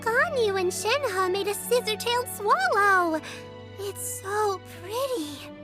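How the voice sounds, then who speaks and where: A woman voicing a tiny, excitable and cheeky fairy companion in a game, with a squeaky, chirpy voice. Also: takes it slowly, over music, full character voice. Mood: excited. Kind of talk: game voice acting.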